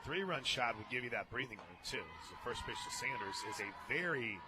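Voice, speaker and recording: An American man doing live radio play-by-play of softball, conversational, with wry asides between calls. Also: mid-range voice, big pitch swings, fairly quick. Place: radio booth at a ballpark. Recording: noisy outdoor ballpark broadcast.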